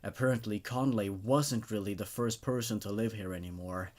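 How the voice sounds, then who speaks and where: A man's voice: lowish voice, steady tone, moderate pace, darkish tone. A man hosting and reading stories aloud in a scripted narration style.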